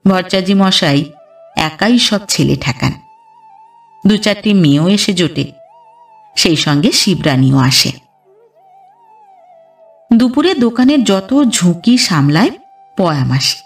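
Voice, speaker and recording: A woman reads a literary story as a scripted audiobook narration, in a measured storytelling delivery. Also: lowish voice, lively intonation, distant mic. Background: background music.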